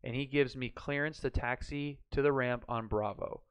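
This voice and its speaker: A man's voice: medium pitch, fairly even intonation, normal speed, clear, resonant tone. A man chatting casually on a live stream, talking through hypothetical situations as he works them out. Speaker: flight sim streamer learning radio procedures.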